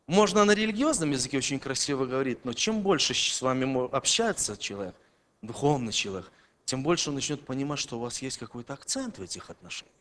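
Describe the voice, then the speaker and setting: A man preaching a sermon to a congregation, emphatic, swinging between loud declarations and quiet, low passages. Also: very animated, fast. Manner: emphatic, rising and dropping in loudness.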